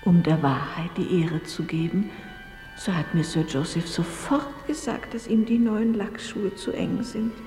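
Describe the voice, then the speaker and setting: A woman playing a gracious, devout upper-class lady in an old German radio play, measured and refined, sometimes hushed. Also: on the low side, close to an everyday voice. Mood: devout, wistful. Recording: echoey vintage radio play, long reverb. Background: music.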